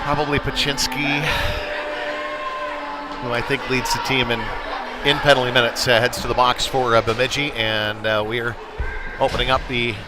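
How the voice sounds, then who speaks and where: A man's voice: medium pitch, little pitch movement, moderate pace, long pauses, over a noisy crowd, very noisy. A man doing casual, conversational live play-by-play commentary of a youth hockey game from a rink, on a headset. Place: chilly ice rink.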